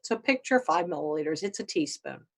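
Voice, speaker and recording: A woman's voice: on the low side, expressive, fairly quick. A woman teaching an anatomy class in an emphatic, conversational, plain-spoken way. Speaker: biology professor. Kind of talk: informal classroom lecture on anatomy.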